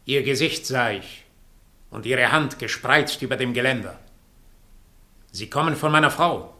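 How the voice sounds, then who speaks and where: A man's voice: mid-range voice, unhurried, sharp tone. An actor playing a tense, fatalistic husband awaiting his killer, formal and clipped, in a solemn 1960s radio play.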